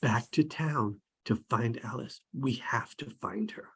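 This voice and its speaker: A performer playing an earnest, determined but hesitant teenage boy, with a husky, breathy voice. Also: mid-range voice, a little slow. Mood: hushed, determined.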